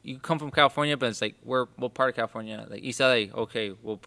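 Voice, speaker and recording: A man's voice: medium pitch, quick pace, vocal fry, bright, forceful tone. A man interviewing a boxer in a chatty, enthusiastic manner, laughing often. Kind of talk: casual interview chat.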